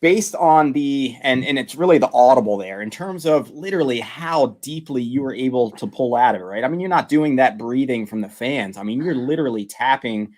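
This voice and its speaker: A man's voice: mid-range voice, expressive, a little fast, few pauses, darkish tone. A man hosting a live-streamed interview over a video call, asking rambling, jokey questions with many restarts. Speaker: host.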